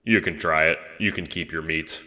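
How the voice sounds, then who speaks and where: Man playing a curious, low-voiced ghost. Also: steady tone, normal speed, pushed and bright, in a large hall, heavily acted. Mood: amused. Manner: hesitant, questioning. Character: newly dead player, curious, mild, hesitant. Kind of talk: audio drama dialogue.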